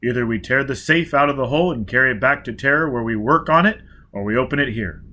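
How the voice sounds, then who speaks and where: A man voicing a rough, angry spaceman who speaks loudly and impatiently. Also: mid-range voice, quick pace, close to an everyday voice. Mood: urgent. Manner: loud, impatient, forceful.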